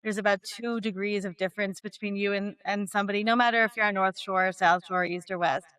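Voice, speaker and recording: Bright-voiced woman, thoughtful and keen. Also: medium pitch, fairly even intonation, fairly quick, clear, resonant tone. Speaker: divorce expert guest. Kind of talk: casual panel chat.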